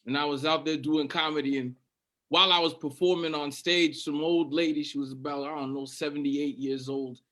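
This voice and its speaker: A man's voice: fairly high pitch, steady tone, brisk, sharp tone. A man from Canada doing stand-up, telling a story in a casual, profane way. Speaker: stand-up comedian.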